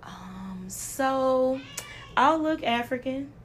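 A woman's voice: sits high, expressive, extremely slow, a little hiss or hum. A young woman co-hosting a podcast, telling stories with lots of ums and laughter in casual chat. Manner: laughing, storytelling with many ums. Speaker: podcast co-host.

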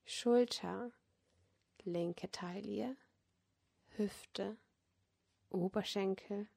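Slow, soft, breathy woman guiding meditation. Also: medium pitch. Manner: soothing, gentle, often near-whispered delivery. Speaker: meditation guide and podcast host. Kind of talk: guided yoga nidra relaxation meditation.

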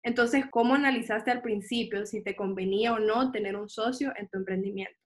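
A woman's voice: mid-range voice, a little fast. A woman interviewing a business owner, asking long, friendly questions.

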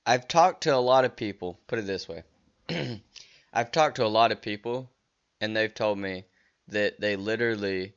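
A man's voice: on the low side, fairly bright tone, clear, resonant tone, highs cut off. A man hosting a casual solo podcast, rambling with many restarts and fillers while he is losing his voice. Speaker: podcast host.

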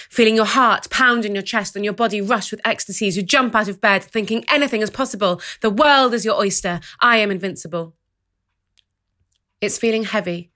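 A woman's voice: mid-range voice, fairly bright tone. A woman performing a spoken-word theatre monologue in a dramatic, rhythmic, list-like delivery. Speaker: writer-performer.

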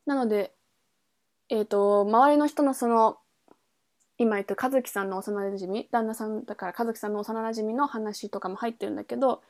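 A woman's voice: mid-range voice, normal speed, darkish tone. A woman podcast host talking casually and informally, thinking aloud and correcting herself as she explains things. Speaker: podcast host introducing a book. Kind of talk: casual chat explaining a novel.

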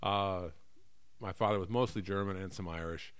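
A man's voice: low-pitched, moderate pace, smooth. A middle-aged American man chatting casually and comically, rambling and often correcting himself as he goes. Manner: animated, rambling, self-correcting. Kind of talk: casual comedic chat.

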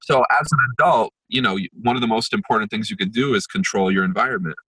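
A man's voice: on the low side, fast talker, fairly bright tone, slightly rough, dry recording, rough recording. A young man, a workshop facilitator, talking reflectively in an interview with many fillers and restarts. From born in Israel, raised in New Jersey and New York. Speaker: empathy workshop facilitator, podcast guest. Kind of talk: conversational interview.

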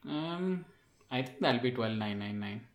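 A man's voice: medium pitch, expressive, unhurried, muffled recording. A man, recorded with a roomy echo. He chats casually about tech as a podcast co-host. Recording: roomy, echoey sound.

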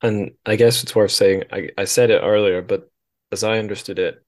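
A man's voice: fairly low pitch, fairly even intonation, normal speed. A man hosting a casual interview, thinking aloud with tentative phrasing and dry humour. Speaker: podcast host.

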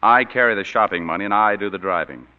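A man's voice: fairly low pitch, quick pace, slight background noise, close to an everyday voice. A man playing a wry hard-boiled detective. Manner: dry, wisecracking. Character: wisecracking hard-boiled male private detective. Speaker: narrator and lead. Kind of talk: 1940s radio acting. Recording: dull old radio broadcast with faint music.

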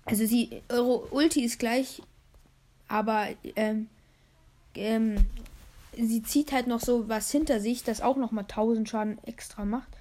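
A young woman hosting a casual gaming podcast, hoarse, rambling with many fillers while she plays a game. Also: a little high, steady tone, a little slow, dark tone. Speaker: podcast host.

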